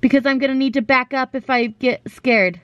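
A woman's voice: high-pitched, brisk, dull audio. A woman in her thirties, a mom filming a family vlog, short of breath and nervously talking herself through a cramped cardboard tunnel, with the camera held close to her face. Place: inside a small cardboard tunnel. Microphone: handheld camera close to face.

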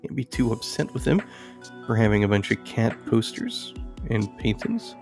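Man, lively pitch, creaky voice, musing out loud, casual game streamer, music behind. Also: normal speed. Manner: musing, thinking aloud. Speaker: game streamer reviewing indie games. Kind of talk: casual gameplay commentary.

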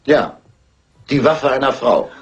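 An actor playing an officious, testy police inspector with a formal manner, in German film dubbing. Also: male voice, mid-range voice, expressive, takes it slowly, lo-fi sound. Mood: businesslike. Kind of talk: dubbed classic crime film dialogue.

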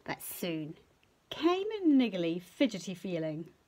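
Animated, unhurried New woman reading aloud. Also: on the low side, slight background noise. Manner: drawn-out, playful emphasis with hushed moments. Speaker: picture-book reader. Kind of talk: expressive children's story reading. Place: small furnished room at home.

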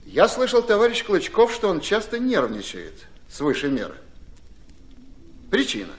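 An actor playing a courteous, businesslike Red Army commander in a radio drama, probing with authority. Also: male voice, high-pitched, huge pitch swings, heavily acted. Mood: probing, approving. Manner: brisk, questioning, authoritative.

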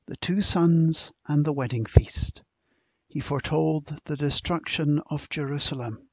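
A man, a church minister, reading a welcome, scripture and prayers in a solemn, measured, deliberate manner. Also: a little high, a little mellow, no room sound, muffled recording. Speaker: church minister leading an online service.

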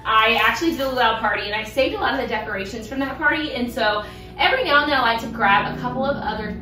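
A woman in her thirties chatting casually about her shopping haul, bubbly and quick to laugh, recorded in a home kitchen. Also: on the high side, lively intonation, recorded from a distance, noisy background. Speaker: vlogger. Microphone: camera across the room. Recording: roomy kitchen, voice from off-mic, music behind.

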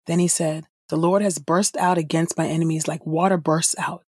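Female reader for audio Bible: lowish, husky voice, measured delivery, dry close sound. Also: steady tone, darkish tone, no echo. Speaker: podcast host, scripture reader. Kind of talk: scripted audio Bible reading.

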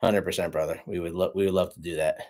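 A man in his thirties co-hosting a casual car livestream from a living room, chatting to viewers with lots of fillers and friendly shout-outs. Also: deep voice, fairly even intonation, fast. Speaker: car channel co-host reading live chat.

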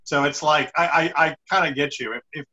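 A man's voice: on the high side, brisk, sharp tone. A middle-aged man hosting an interview, chatting casually over a video call. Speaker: interviewer, show host. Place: indoor room on a video call. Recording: slightly dull video-call audio.

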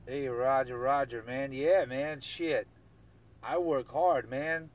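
A man's voice: mid-range voice, steady tone, takes it slowly. A man chatting casually in CB radio slang, often repeating his phrases. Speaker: CB radio operator. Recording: distant mic, noisy radio hiss.

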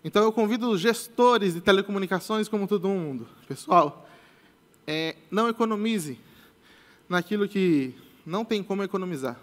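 Young Brazilian male presenter, high voice, loose and repetitive delivery, live stage mic. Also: lively intonation, moderate pace. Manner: casual, repeats "tá?" as a tag, many restarts. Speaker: conference speaker. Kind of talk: technical conference talk. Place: large conference stage with audience. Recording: long hall reverb.

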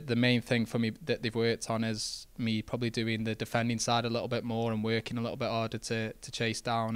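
A young footballer speaks in a low, very level voice that barely rises or falls. His tone is smooth and clear, close on a handheld mic with little room sound. He talks modestly, with plenty of fillers. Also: no echo. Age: young adult. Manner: relaxed, modest, many fillers and restarts. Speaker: footballer after a match. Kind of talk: post-match interview. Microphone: handheld interview mic.

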